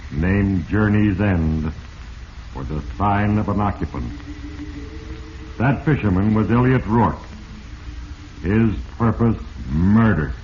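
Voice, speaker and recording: A slow, ominous voice of Fate. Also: male voice, fairly low pitch. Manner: portentous, deliberate, addressing the character as "you". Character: ominous male narrator, the voice of Fate, addressing the doomed. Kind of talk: 1940s radio mystery narration. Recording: muffled, noisy vintage radio recording.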